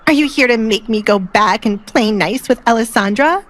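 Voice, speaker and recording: A woman's voice: on the high side, moderate pace, a bit bright, pitched above the performer's own voice, lightly acted. A woman voicing a jealous, haughty young noblewoman in an audio drama, scoffing and indignant. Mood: wounded, indignant.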